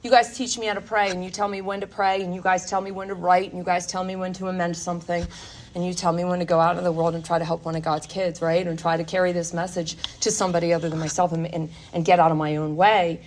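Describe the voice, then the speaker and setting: A woman's voice: lowish voice, flat delivery, quick pace, a little hiss or hum. A woman speaking at a recovery workshop, giving an earnest, confessional personal testimony full of "like" and "you know". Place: workshop meeting room.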